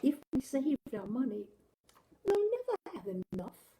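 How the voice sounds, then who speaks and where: A woman's voice: sits high, big pitch swings, unhurried, warm tone, slight background noise, voice pushed up, exaggerated voice. A woman reading the part of an earnest, wistful, art-obsessed American husband in a story, patient and musing. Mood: wistful, eager. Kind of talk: acted dialogue in story reading.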